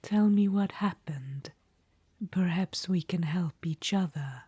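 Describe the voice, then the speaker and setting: A woman's voice: fairly low pitch, slow pace, slightly rough, dry recording, heavily acted. A woman voicing a scheming, dryly wry undead witch queen in a whispered audio roleplay. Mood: sly. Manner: soft unvoiced whisper.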